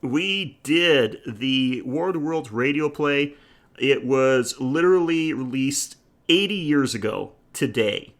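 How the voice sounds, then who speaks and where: A man with a medium-pitched voice co-hosts a podcast. He talks in a loose, conversational style, discussing films. His manner is jokey and full of banter. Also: animated, slow pace. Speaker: podcast co-host. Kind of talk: casual film discussion chat.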